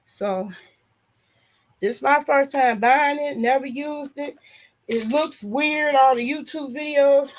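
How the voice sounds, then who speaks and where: A hoarse, nasal woman vlogger chatting casually and frankly to her viewers in a loose, rambling way. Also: sits high, takes it slowly. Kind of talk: casual chat to a live audience.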